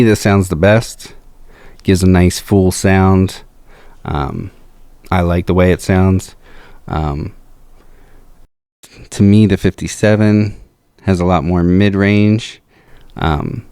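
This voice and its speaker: A man with a low voice talking through a microphone demo in a home studio, slow and measured with frequent fillers. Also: darkish tone, clean tone, no room sound. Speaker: video host. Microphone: close to a studio microphone.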